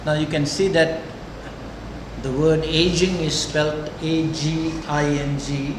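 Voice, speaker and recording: An older man lectures in a very reverberant room, picked up from a distance over loud background noise. He talks deliberately and conversationally, putting questions to his students. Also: fairly high pitch, flat delivery. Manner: deliberate, conversational, checks in often with "okay" and "huh". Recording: echoey lecture room, distant mic, noisy.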